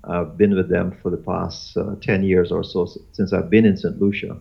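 A man in his fifties from Guyana, talking as an interview guest in a halting way with many fillers and restarts. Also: sits low, flat delivery, vocal fry, husky. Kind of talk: casual interview chat. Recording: dull lo-fi audio.

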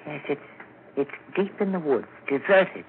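A man acting a small, sly stranger in a 1940s radio horror drama, speaking in a knowing, guarded, halting way. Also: fairly high pitch, lively intonation, vocal fry. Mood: knowing, hesitant. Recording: tinny, crackly 1940s radio recording.

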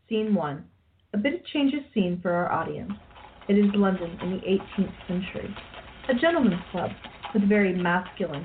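Woman narrating a radio play script, medium pitch, dull muffled audio. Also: background noise.

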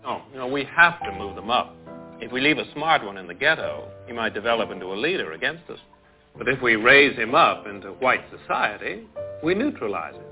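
A calculating male power broker in a film drama, speaks with smooth cynicism and a widely swinging, animated pitch. The sound is thin and phone-like, with music underneath. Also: brisk. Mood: calculating, persuasive. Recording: muffled phone-like film clip over music.

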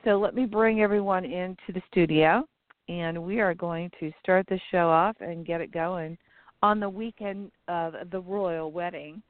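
A woman hosting an internet radio show in casual chat, sounding stuffy and raspy, with many ums and uhs. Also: lowish voice, steady tone, a little slow, no room sound. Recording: tinny phone-line sound.

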